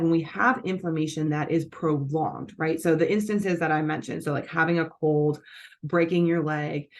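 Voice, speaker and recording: A woman hosting a nutrition channel, explaining things in a casual, chatty way with lots of fillers and self-corrections. Her voice is scratchy and congested from allergies. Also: low-pitched. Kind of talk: casual explanatory talk to camera.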